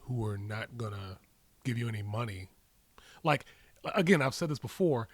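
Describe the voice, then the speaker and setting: A man's voice: mid-range voice, animated, moderate pace, no echo. A man co-hosting a podcast with casual, conversational commentary, arguing his points and repeating phrases for emphasis. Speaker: podcast co-host.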